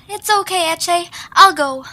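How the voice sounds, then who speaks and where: Very high-pitched excitable young creature played by a woman. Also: slow, heavily acted. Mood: resolute. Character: young female cartoon creature, analytical, excitable problem-solver. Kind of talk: amateur audio drama acting.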